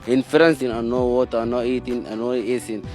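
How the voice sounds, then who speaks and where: A man's voice: mid-range voice, level tone, moderate pace, a little mellow, soft background music. A man, not a native English speaker, pleading in broken English in a street interview, repeating himself. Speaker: refugee interviewee.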